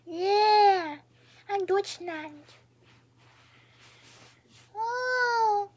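A woman voicing Germany as a plaintive, whiny comedy character with drawn-out words. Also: very high voice, voice pushed up, exaggerated voice. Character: Germany as a plaintive, high-voiced, slow country character. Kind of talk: improvised comedy character skit.

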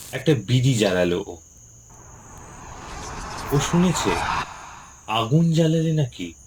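Male storyteller, very slow, suspenseful, faint noise behind. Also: medium pitch. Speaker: narrator. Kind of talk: scripted storytelling narration.

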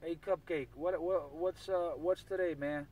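A man's voice: a little high, steady tone, unhurried. A man making casual CB radio chatter in a slangy, repetitive way. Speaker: CB radio operator. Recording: noisy CB radio, heard from afar.

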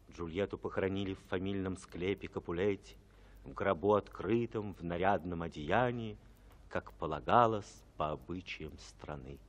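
A man narrating a radio drama from a script in a solemn, measured, dramatic way. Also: low-pitched, a little hiss or hum.

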